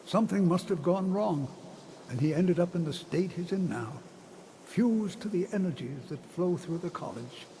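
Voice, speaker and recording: An elderly, kindly college wizard from a fantasy game. His voice is fairly high for a man and gentle, reflective in manner, with a long hall reverb and some background ambience noise. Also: expressive, moderate pace. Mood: grave. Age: older. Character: kindly, measured old wizard scholar of a magic college. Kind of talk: fantasy video game character dialogue.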